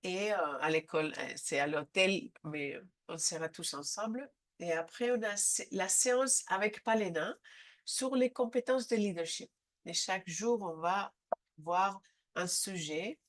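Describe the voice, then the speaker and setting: A woman with a head cold leads a live online training session, speaking haltingly with frequent filler sounds. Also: on the low side. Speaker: seminar trainer.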